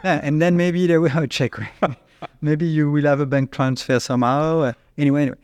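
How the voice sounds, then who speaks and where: Man, French accent, CEO guest, halting English, restarts a lot, dry close sound. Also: fairly high pitch, level tone, darkish tone, smooth, no room sound. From France. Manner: halting, restarts often, searches for English words. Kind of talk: conversational interview answers.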